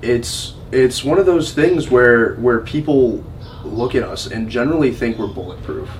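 A young man talks as a podcast guest in a relaxed, candid way with easy laughs. His voice sits a little off the mic. Faint ambient noise is audible beneath it. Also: medium pitch, moderate pace, far from the mic, poor audio. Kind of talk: casual chat.

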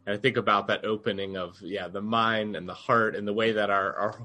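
Male co-host, bright and forceful tone, lowish voice, earnest. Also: fairly even intonation, fairly quick. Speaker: podcast co-host. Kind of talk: casual podcast conversation.

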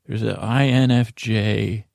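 Male podcast monologist, very gravelly, close dry sound, improvised and meandering, keeps interrupting himself. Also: on the low side, steady tone, darkish tone, no echo. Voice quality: gravelly, creaky. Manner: meandering, halting, self-interrupting. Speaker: podcast host.

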